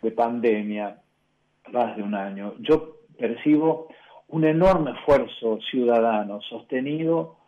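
Argentine bishop on the radio, slow thoughtful talk, lots of pauses, dull lo-fi sound. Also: male voice, medium pitch. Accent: Argentine Spanish. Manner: measured, reflective, with frequent hesitations and restarts. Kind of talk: radio interview answer.

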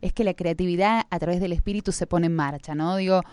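A woman's voice: fairly low pitch, quick pace, a little mellow, clean tone, no room sound. Argentine woman hosting a radio talk. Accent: Argentine Spanish. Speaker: radio host. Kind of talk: live radio interview.

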